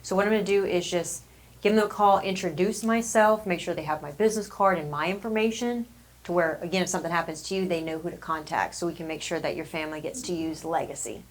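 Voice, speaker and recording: A woman with a fairly low voice walks a client through a sales form. She explains things patiently and repeats herself to reassure them. She talks at a steady, friendly pace. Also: fast talker. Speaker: insurance agency manager. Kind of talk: sales role-play.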